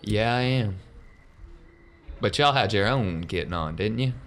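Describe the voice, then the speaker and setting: A man's voice: fairly low pitch, expressive, unhurried, fairly bright tone. A man voicing a blunt, protective hangar boss with terse, folksy speech in an improvised tabletop roleplay. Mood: blunt, wry.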